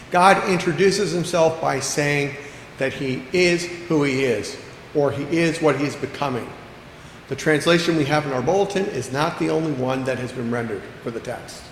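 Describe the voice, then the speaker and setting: A man preaching a sermon as pastor, earnest, slowing down to stress key phrases and repeating lines for emphasis. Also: fairly high pitch. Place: church sanctuary. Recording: echoey church hall with long reverb, faint background noise.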